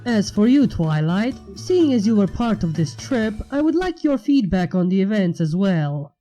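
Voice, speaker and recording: Regal pony princess, played by a man: commanding, formal, animated, over faint music. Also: high voice, normal speed. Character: regal female pony princess, stern and questioning. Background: background music.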